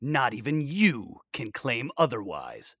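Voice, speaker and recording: Proud army general, sharp forceful voice, animated, indignant, pompous, dry close sound, audio drama. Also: male voice, medium pitch, slow, slightly rough, no room sound, heavily acted. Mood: proud defiance.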